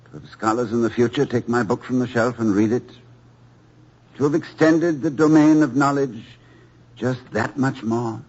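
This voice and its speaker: An actor playing a gentle, wry, middle-aged college president and devoted husband in old-time radio drama, articulate but hesitant. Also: male voice, mid-range voice, moderate pace, husky, close to an everyday voice. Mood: bitter disappointment. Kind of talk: 1950s American radio comedy-drama acting. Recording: dull old radio broadcast recording.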